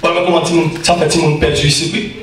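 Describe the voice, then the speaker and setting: A man, preaching a fiery sermon as an evangelist, shouting and chanting into a handheld mic while pacing a small church. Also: on the high side, little pitch movement, a little fast. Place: small church sanctuary, indoors. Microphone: handheld mic through PA, recorded from a far camera. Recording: very echoey church PA from far off, with music.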